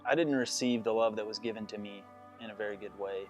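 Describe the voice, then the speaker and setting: A man's voice: lowish voice, fairly even intonation, fast, faint music behind. A man in his mid-twenties giving a plain-spoken personal testimony. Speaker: church member giving testimony. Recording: dull, muffled audio.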